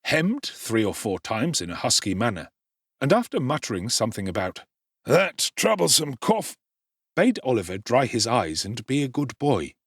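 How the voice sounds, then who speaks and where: A man's voice: medium pitch, normal speed, husky, no room sound, clean studio sound. A man giving a theatrical, dramatic audiobook narration. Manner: expressive, theatrical.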